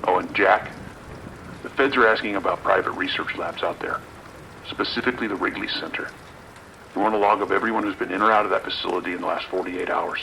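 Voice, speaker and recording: A man's voice: deep voice, steady tone, a little fast, vocal fry, sharp tone, slight background noise, exaggerated voice, rough recording. A man playing a stern, authoritative sheriff's lieutenant with a clipped delivery in an audio drama. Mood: firm, urgent.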